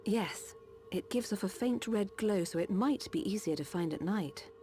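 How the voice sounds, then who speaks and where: An actress playing a calm, dutiful village doctor of a machine race in a video game cutscene, over music. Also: female voice, medium pitch, normal speed, close to an everyday voice. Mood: steady, reassuring.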